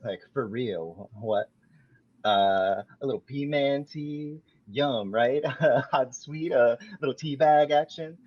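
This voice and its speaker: Smug flirty guy, a man reading it, slow and sleazy, kinda dull lo-fi audio. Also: medium pitch. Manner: sleazy, self-satisfied flirting. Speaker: podcast host reading a script. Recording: dull lo-fi sound.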